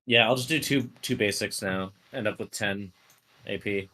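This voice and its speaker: A man's voice: fairly low pitch, normal speed, bright, forceful tone, slight background noise. A man playing a tabletop game, casually working out numbers and moves aloud.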